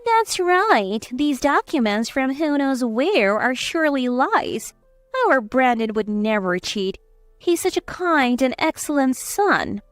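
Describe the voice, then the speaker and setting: A woman's voice: high-pitched, big pitch swings, slow, no room sound, soft background music, voice pushed up, full character voice. A woman voicing an indignant, doting mother and betrayed wife, protesting and accusing in a dramatized story. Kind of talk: scripted story dialogue.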